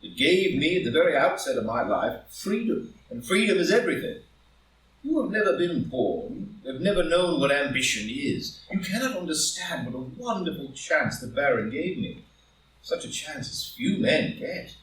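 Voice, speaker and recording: A man acts out a proud gentleman of wealth and ambition in a live play reading. His fairly high voice moves animatedly through grand, impassioned phrases. He sounds set back from the microphone. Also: very animated, normal speed. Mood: proud, confiding. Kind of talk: live group play reading, stage drama. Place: indoor room, live in-person group reading. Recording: roomy live recording, distant from mic.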